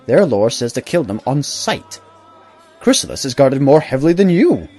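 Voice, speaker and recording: Cautious stallion diplomat, played by a man: husky, lively pitch, measured and wary audio drama delivery. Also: soft background music. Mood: firm. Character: cautious, loyal stallion ambassador to the changelings.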